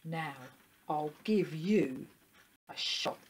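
A woman's voice: medium pitch, sing-song, unhurried, darkish tone, a little hiss or hum, pitched above the performer's own voice. A woman voicing a silly, forgetful male dog in a children's story, cheerful, mischievous and exclamatory. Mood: sly, gleeful. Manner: exclamatory, polite, chuckling to himself.

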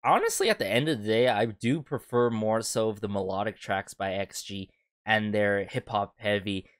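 A man's voice: on the low side, normal speed. Young man casually reviewing a song. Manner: chatty, with casual filler words and rhetorical asides. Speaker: music reaction host. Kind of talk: casual music video reaction and review. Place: bedroom home studio, indoors. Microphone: headset headphones with a desk boom mic. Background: song playing faintly.

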